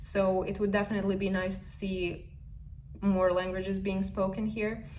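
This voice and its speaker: A woman's voice: medium pitch, flat delivery, moderate pace, darkish tone, poor audio. A woman with a Serbian accent talks straight to her viewers in a chatty, earnest vlog. Her English is fluent but has small non-native turns of phrase. A faint hiss sits under the voice. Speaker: vlogger. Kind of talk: casual vlog monologue. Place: indoors, recording in the US.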